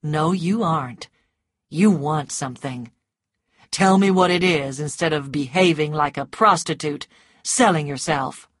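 A woman voicing a mocking, self-assured man in an audiobook story, blunt, deliberate and teasing. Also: low voice, lively intonation, slightly rough, no room sound, clean studio sound, exaggerated voice. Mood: scornful.

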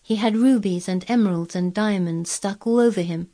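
A woman's voice: medium pitch, normal speed, warm tone, pitched above the performer's own voice, natural voice. A woman voicing a frank, strong-willed, earnest young girl in storybook dialogue. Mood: frank, thoughtful. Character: frank, strong-willed, curious ten-year-old girl.

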